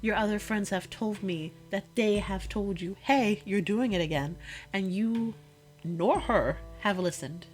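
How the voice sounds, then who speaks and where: A woman playing a hurt, exasperated girlfriend confronting her boyfriend in an intimate one-sided audio roleplay, speaking emphatically and haltingly and repeating herself. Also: medium pitch, soft background music, lightly acted. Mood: exasperated, accusing.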